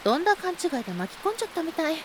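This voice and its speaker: 女性が演じる高めの声の挑発的で妖艶な女. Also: female voice, very high-pitched, sing-song, rapid-fire, slight background noise. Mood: teasing. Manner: coy, taunting, breathy giggles between phrases. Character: teasing, seductive, spiteful adult woman. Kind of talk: Japanese audio drama acting.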